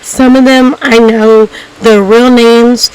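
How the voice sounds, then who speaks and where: A woman with a hoarse, cracking voice, hosting a documentary-style video and talking casually to viewers, rambling with many ums and restarts. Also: a little high, flat delivery, slow pace, a little mellow, low-quality recording. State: hoarse, losing her voice. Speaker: YouTube documentary host. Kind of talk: casual unscripted narration to viewers.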